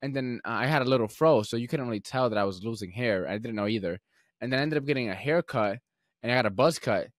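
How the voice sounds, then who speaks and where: A young man hosting a podcast, telling stories in a relaxed, rambling way with lots of filler words. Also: mid-range voice, fast talker, no room sound, clean studio sound. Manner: relaxed, rambling storyteller with many fillers and restarts. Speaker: podcast host. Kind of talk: casual podcast conversation.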